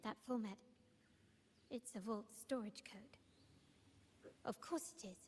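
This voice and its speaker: An actress playing a composed, sharp-minded young woman investigator in a video game, speaking in a measured, deliberate way. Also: mid-range voice, a little hiss or hum, natural voice. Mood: pensive. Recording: game dialogue over music.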